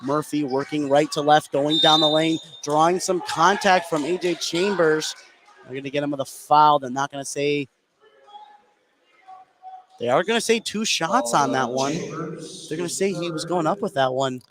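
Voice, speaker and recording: A man's voice: a little high, normal speed, crowd noise. An American man giving live play-by-play radio commentary on a basketball game. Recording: clearly noisy gym ambience.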